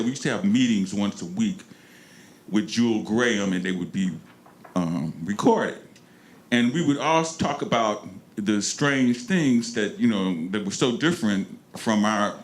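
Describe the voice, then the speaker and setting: An older man from St. Louis, speaking on an alumni panel with many pauses and restarts. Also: lowish voice. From North St. Louis, Missouri. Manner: halting, many ums and restarts. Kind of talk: reminiscing on a panel. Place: large room at a panel event. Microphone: handheld microphone.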